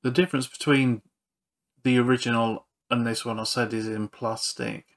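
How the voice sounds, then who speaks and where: A man's voice: mid-range voice, steady tone, normal speed. A man narrating a hands-on repair walkthrough in an understated, matter-of-fact way. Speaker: repair channel presenter.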